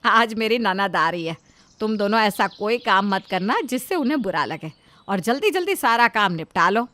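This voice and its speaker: A woman's voice: medium pitch, animated, normal speed, pushed and bright. A woman voicing an anxious, mild middle-aged mother-in-law in a cartoon story, instructing and placating. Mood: firm.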